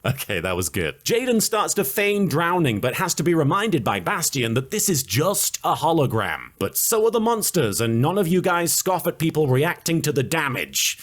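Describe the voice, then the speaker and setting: A man's voice: on the high side, big pitch swings, normal speed, few pauses. A man narrating a scripted comedy recap with sarcastic, dry asides.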